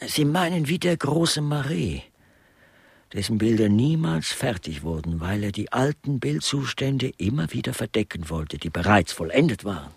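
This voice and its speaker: A sardonic artist playing detective in a radio drama. His voice is husky and swings animatedly in pitch, with mannered, literary phrasing and dry irony. The sound is close and dry. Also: big pitch swings, moderate pace, dry recording, exaggerated voice. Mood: sardonic, probing. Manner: mannered, literary, dryly ironic. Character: sardonic male artist playing amateur murder investigator. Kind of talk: German radio play dialogue.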